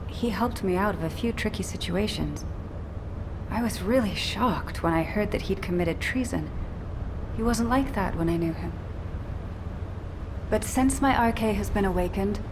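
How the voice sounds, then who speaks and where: A woman's voice: mid-range voice, natural voice. A woman playing an earnest, polite young female recruit soldier in a video game. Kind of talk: video game character dialogue. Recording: loud vehicle and ambient noise behind.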